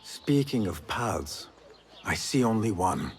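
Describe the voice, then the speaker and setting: An actor playing an earnest, protective young agent in a video game, with formal phrasing. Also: male voice, on the low side, sing-song, takes it slowly. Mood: earnest, calm. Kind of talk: video game cutscene dialogue.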